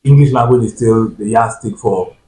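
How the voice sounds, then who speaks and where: A man speaking as a guest on a panel discussion, giving his view in a measured way and sometimes restarting a phrase. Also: lowish voice, mellow. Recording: echoey room.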